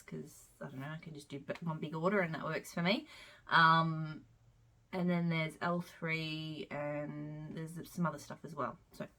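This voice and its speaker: A woman's voice: low-pitched, fairly bright tone. A woman with a head cold and a congested voice, casually reviewing her used-up wax melts at home in a rambling, chatty way. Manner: rambling, chatty, frequent "um" and side tangents.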